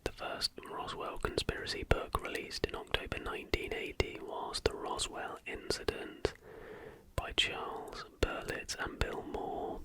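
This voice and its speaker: An ASMR host narrating in a breathy, unvoiced whisper with a hushed, measured delivery. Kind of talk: ASMR reading of conspiracy history, scripted narration with brief asides.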